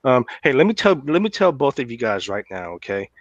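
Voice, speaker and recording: Eager podcast host, dry sound. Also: male voice, medium pitch, sing-song, a little fast, no echo, dull audio. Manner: enthusiastic, repeats words when starting. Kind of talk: casual interview talk.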